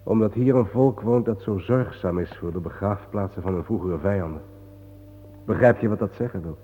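An actor playing a grave, world-weary man guiding his friend through war cemeteries in a solemn, measured, sardonic radio-play manner. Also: fairly low pitch, a little hiss or hum, close to an everyday voice. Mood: hushed, solemn. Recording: muffled phone-like old radio recording, reverberant.

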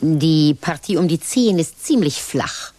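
A woman playing a figure who describes an old golden reliquary in detail, slowly and admiringly, in a radio play. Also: deep voice, lively intonation, dry recording. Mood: descriptive. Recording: distant voice over loud guitar music.

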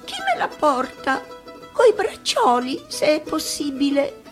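Actress as a high, pleading little old woman. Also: wildly swooping pitch, soft background music, exaggerated voice. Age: older. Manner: imploring, drawn-out, exclaiming.

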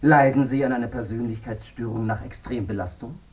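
A man's voice: medium pitch, a little fast, soft background music, close to an everyday voice. Distant, probing defence lawyer played by a man. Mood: challenging, outraged. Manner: probing, argumentative. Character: challenging, sceptical male lawyer arguing for the accused. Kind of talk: German radio drama acting.

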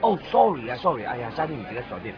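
A man's voice: mid-range voice, big pitch swings, rapid-fire, close to an everyday voice. An actor playing a chatty, scatterbrained, gushingly friendly comic man in a radio drama, with a Hong Kong accent and a rambling, exclamatory manner. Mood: gossipy. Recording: muffled radio recording, noisy crowd behind.

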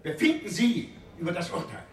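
An actor in a 1980s German radio play, playing a crafty defence lawyer who pleads to a jury with solemn, theatrical pathos. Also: male voice, sits high, very animated, a little fast, background noise. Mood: impassioned pleading. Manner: grandiloquent, declamatory, solemn pathos. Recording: echoey courtroom, distant from microphone.

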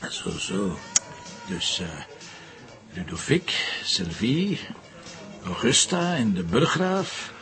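A man's voice: on the low side, big pitch swings, takes it slowly, pauses often, music in the background. A man playing a dry, probing police commissioner in a TV crime drama, teasing and informal, with a Flemish accent.

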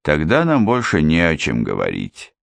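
A man voicing a composed, polite male lawyer who speaks in a measured, firm way. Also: rumbling low, wildly swooping pitch, vocal fry, a bit bright, no room sound, exaggerated voice. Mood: calm. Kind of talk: audiobook character voice.

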